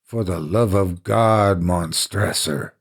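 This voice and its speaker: A man's voice: sits low, takes it slowly, vocal fry, slightly rough, exaggerated voice. A man voicing a chained, doomed nobleman in a gothic horror story, sobering from drink, with sad, forced laughter breaking into his speech. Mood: despairing plea.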